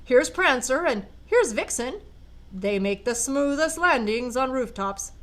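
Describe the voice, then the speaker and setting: A woman's voice: high-pitched, lively intonation, a little slow, a bit bright, pitched above the performer's own voice. A woman voicing a hearty, jolly old Santa Claus in a read-aloud storybook.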